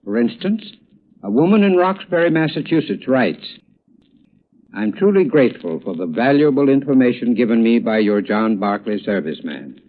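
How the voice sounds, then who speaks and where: Earnest American pitchman on tinny vintage radio. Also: sing-song, moderate pace. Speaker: radio advertising spokesman. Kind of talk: 1930s scripted radio commercial. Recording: tinny, narrow 1930s radio recording.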